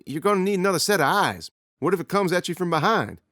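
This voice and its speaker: A man's voice: high-pitched, big pitch swings, fast talker, fairly bright tone, dry recording, studio quality, voice pushed up, exaggerated voice. A man voicing an earnest young boy who speaks in a raised voice.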